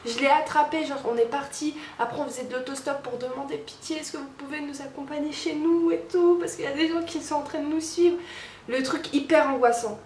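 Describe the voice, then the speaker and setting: A woman's voice: sits high, darkish tone, reverberant, a little hiss or hum. A young woman vlogging in a casual, chatty way, stuffy from a cold, with many fillers and restarts. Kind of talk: casual daily vlog chat.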